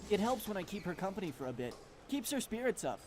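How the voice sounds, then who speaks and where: A friendly, goofy young student, as a man puts him on banters in an upbeat, jokey way. His mid-pitched voice swings widely, and music plays clearly behind him. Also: sing-song, quick pace.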